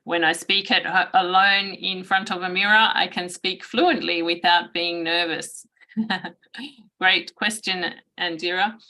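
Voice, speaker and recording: A woman gives a guest lecture over a video call. She speaks very slowly and steadily, with a bright, clear tone sitting a little low in pitch, and pauses now and then to clear her throat. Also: steady tone, poor audio. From Australia. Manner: slow, measured, clears throat often.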